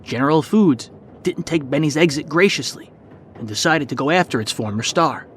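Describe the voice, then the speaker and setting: A man's voice: a little high, lively intonation, normal speed, a little hiss or hum. A man reading scripted documentary narration as a podcast host.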